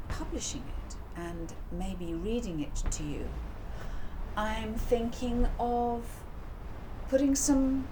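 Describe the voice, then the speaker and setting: A woman talks informally to her listeners as an author and host. Her pace is slow, with a musing manner and frequent pauses, at a medium pitch. Noticeable background noise sits behind the voice. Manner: unhurried, musing, pausing often. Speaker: author and reader of her own book, channel host.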